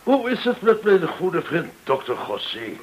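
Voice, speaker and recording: Genial, swooping-voiced gold dealer. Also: male voice, high-pitched, sing-song. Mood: jovial hospitality. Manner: effusively polite, theatrical, measured. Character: genial, courteous, smooth-talking male gold dealer, shrewd host. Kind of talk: radio drama acting. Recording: hissy old radio drama recording.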